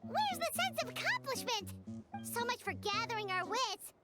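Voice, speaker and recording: Very high, childlike little creature. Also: female voice, very animated, moderate pace, over music. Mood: flustered. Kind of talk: video game character acting.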